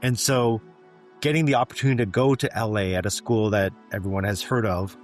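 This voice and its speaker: A middle-aged man from Canada recounts his past at a steady, thoughtful pace, often pausing on "um" and "you know." His voice sits low. Soft music plays faintly behind him. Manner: reflective, measured, frequent fillers like um and you know. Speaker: guest, physician executive. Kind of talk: interview storytelling.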